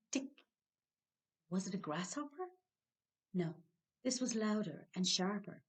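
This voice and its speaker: An Irish-accented woman reading a children's story expressively, with dramatic timing and hushed suspense. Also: on the high side. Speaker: library storyteller.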